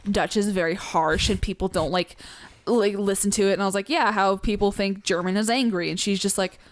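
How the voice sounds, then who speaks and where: A woman's voice: mid-range voice, fairly quick, no room sound. A young woman from the Pacific Northwest with an American accent, chatting casually over gameplay, acting out quotes in her stories and swearing freely. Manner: animated storyteller, acts out quotes, swears casually. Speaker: co-host of a gameplay let's play.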